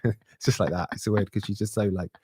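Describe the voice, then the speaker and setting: Fast-talking man co-hosting a podcast. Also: on the low side, fairly even intonation, darkish tone, slightly rough, no echo, studio quality. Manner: rambling, quick, restarts often. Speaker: podcast co-host. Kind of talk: casual live podcast chat. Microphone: podcast microphone.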